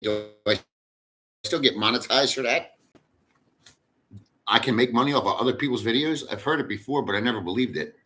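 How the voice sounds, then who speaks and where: A man's voice: mid-range voice, fairly quick, long pauses, bright, forceful tone. Crude, foul-mouthed male livestreamer. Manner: crude, profane and rambling. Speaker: livestream host reading chat. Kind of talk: casual livestream chat.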